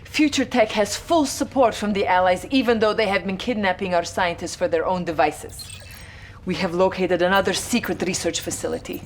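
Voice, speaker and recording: An actress playing a determined Soviet resistance officer with a Russian accent, giving earnest, solemn game mission briefings. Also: female voice, fairly low pitch, moderate pace, a little hiss or hum, natural voice. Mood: indignant, urgent.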